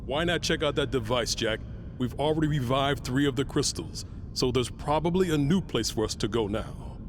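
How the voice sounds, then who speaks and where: A man acts a steady warrior companion in a fantasy game. His mid-pitched voice is casual and matter-of-fact. There is clear background noise around him. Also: normal speed, natural voice. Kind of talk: video game cutscene dialogue.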